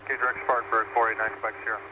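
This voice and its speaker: A man working as an airline pilot, giving brisk, routine radio readbacks. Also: fairly low pitch, level tone. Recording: cockpit radio with noise.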